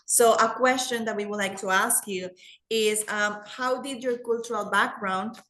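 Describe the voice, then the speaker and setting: A woman's voice: a little high, steady tone, moderate pace, noisy background. Encouraging woman moderating a panel, echoey. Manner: encouraging, with hesitant fillers. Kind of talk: hosting an online panel. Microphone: video call. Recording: echoey room.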